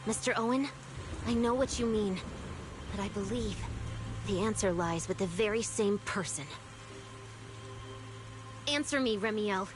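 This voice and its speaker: A woman's voice: medium pitch, a little slow, long pauses, close to an everyday voice, low-quality recording. An actress playing a stern, formal female authority figure giving clipped commands, in a game cutscene. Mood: commanding. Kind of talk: video game voice acting. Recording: game cutscene with music behind.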